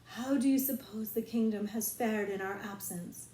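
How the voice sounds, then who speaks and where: A woman's voice: mid-range voice, moderate pace, warm tone, natural voice. A woman voicing an imaginative, bold young girl who plays at being a lofty queen in a children's storybook. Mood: regal, hushed. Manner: lofty mock-archaic speech. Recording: roomy echo.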